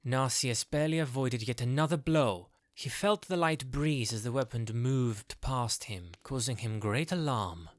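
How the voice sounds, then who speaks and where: A man narrates a scripted fantasy drama in a mid-pitched voice. The sound is close and very dry, like a studio booth. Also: measured pace, hardly any pauses, no room sound. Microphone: close, dry studio mic.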